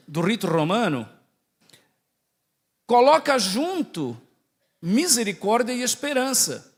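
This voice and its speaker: High-voiced Brazilian priest in an echoing hall. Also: male voice. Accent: Santa Catarina Brazilian, close to Portuguese. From Santa Catarina, southern Brazil. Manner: didactic, asks the audience questions and checks in often. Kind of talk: conversational religious talk to a congregation. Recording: echoey hall with long reverb.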